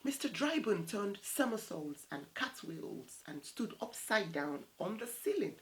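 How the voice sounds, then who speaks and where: A woman in her thirties reading a picture book aloud as storytime host, in a theatrical, unhurried way. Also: medium pitch, big pitch swings, rough recording. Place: small indoor set with plain backdrop.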